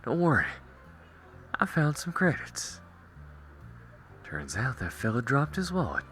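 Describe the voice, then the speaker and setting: Male actor as a cowboy ranger, slow delivery, bright forceful edge, wry and teasing, roleplay audio drama. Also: mid-range voice, wildly swooping pitch, faint music behind. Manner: slow, drawling, wry, reassuring. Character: calm, cocky gunslinging cowboy ranger, teasing but protective.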